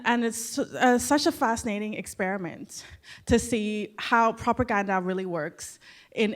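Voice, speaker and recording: A woman from Thailand speaks on a conference panel, sharing a personal story. Her delivery is earnest and conversational, with frequent asides to the audience. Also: medium pitch.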